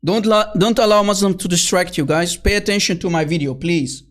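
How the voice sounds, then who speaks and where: A man's voice: sits high, a little fast, a bit bright. A man hosting an online debate, heated and scornful, calling opponents names and often addressing viewers as guys and brother. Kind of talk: combative online debate commentary. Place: at his computer.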